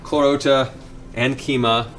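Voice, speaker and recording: A man's voice: medium pitch, fairly even intonation, measured pace, fairly bright tone. A man running a tabletop fantasy game as game master, narrating in a descriptive, dramatic storytelling way. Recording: music under the voice.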